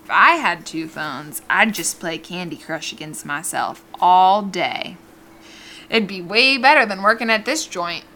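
An actress playing a chatty, nosy small-town diner waitress in an audio drama, with a folksy, familiar way of talking. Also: female voice, fairly low pitch, expressive, unhurried, bright, forceful tone, exaggerated voice. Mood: wry.

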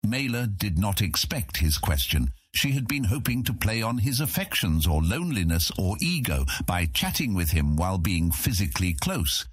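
A man's voice: deep voice, very animated, moderate pace, hardly any pauses, husky, no echo, studio quality. A man narrating a scripted fantasy audiobook as its storyteller.

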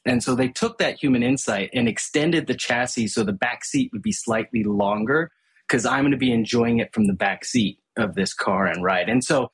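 A man's voice: medium pitch, moderate pace, breathy edge. A middle-aged man from Hong Kong, a brand strategist, explaining his ideas thoughtfully in an interview. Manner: thoughtful, explanatory, with frequent restarts. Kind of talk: podcast interview conversation.

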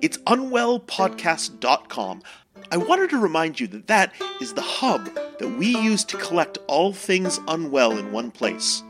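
A man's voice: fairly high pitch, very animated, unhurried. A man reading scripted sponsor messages and show announcements as a podcast host. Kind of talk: scripted promotional read. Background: music.

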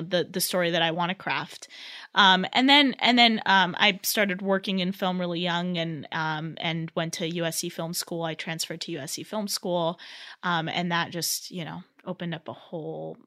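A young woman from Southern California chats as an interview guest about her path as a director. Her voice is bright and forward, and she often breaks into laughs and restarts her sentences. Her speech is loose and full of fillers. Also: lowish voice. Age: 20s. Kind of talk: casual interview chat.

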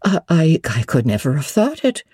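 A woman's voice: deep voice, big pitch swings, normal speed, mellow, slightly rough, dry recording, clean studio sound, exaggerated voice. A woman voicing an elderly widowed housekeeper who is bewildered and cautious, speaking in a hesitant, fretful way in a classic novel reading. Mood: doubtful. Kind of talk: dramatic audiobook reading of classic literature.

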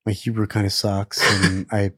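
A man's voice: fairly low pitch, little pitch movement, normal speed, breathy edge, no echo. Man chatting loosely, dry sound. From born in Israel, raised in Pittsburgh. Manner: rambling, many fillers and self-corrections. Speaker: chef, interview guest. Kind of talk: casual conversational interview.

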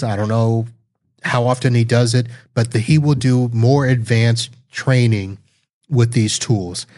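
A man's voice: mid-range voice, darkish tone. Man casually talking through a tech review. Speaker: tech reviewer and podcast host on a live stream. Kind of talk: casual unscripted product review.

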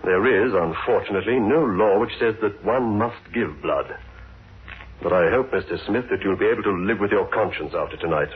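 An actor playing a grave, earnest doctor in a 1960s radio drama, speaking formally and with measured, reproachful weight. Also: male voice, lowish voice, slight background noise, close to an everyday voice. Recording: thin, phone-like old radio recording.

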